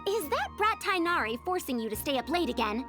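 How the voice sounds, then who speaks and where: A woman's voice: high-pitched, very animated, a little fast, music in the background. A woman voicing a proud, fussy scholar in a video game, with formal, old-fashioned phrasing and the airs of an elder. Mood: fussing, caring. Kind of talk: video game character voice acting.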